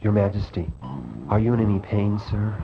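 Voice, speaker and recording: A man's voice: monotone, normal speed, lightly acted. A man playing a serious royal bedside attendant in a game cut-scene, formal and cautioning in manner. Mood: attentive, formal. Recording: muffled game audio, faint rumble.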